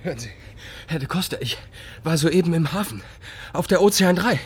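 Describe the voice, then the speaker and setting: An actor playing an anxious, subservient male clerk in a radio drama, halting and agitated. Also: on the high side, expressive, slight background noise, close to an everyday voice. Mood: breathless, alarmed. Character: flustered, anxious, subservient male office manager. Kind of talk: German radio drama acting.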